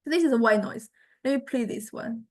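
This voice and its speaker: A woman giving an informal software demonstration lecture, thinking aloud and often searching for words as she explains. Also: a little high, a little fast.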